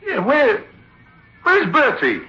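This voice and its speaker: An actor playing a rattled, sceptical theatre manager in a radio drama, emphatic and repeating himself in agitation. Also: male voice, extremely high pitch, huge pitch swings, slow, pushed and bright, slightly rough, heavily acted. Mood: agitated, sceptical. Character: rattled, sceptical theatre manager telling his uncanny tale. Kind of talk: radio drama acting and first-person storytelling. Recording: muffled old radio recording with music.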